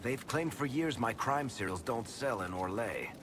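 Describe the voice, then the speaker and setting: An actor playing a wry, wisecracking rogue writer in a fantasy video game, dry and conversational, with noise behind. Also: male voice, mid-range voice, expressive, normal speed, close to an everyday voice. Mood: wry, distracted. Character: wry, sardonic roguish male storyteller, grudgingly good-natured. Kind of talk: cinematic game dialogue acting. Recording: background noise and ambience.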